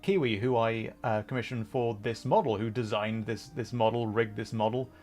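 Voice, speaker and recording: A man hosting a livestream, chatting casually in a hesitant, thoughtful, rambling way with frequent pauses while he plays a game. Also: mid-range voice, a little mellow. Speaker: livestream host. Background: background music.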